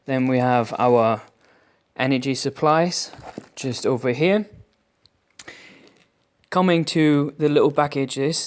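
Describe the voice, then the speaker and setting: A man with a medium-pitched voice presents a product review. He narrates an unboxing in a measured, explanatory manner. His delivery moves along phrase by phrase. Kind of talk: unboxing review narration over hand-held footage.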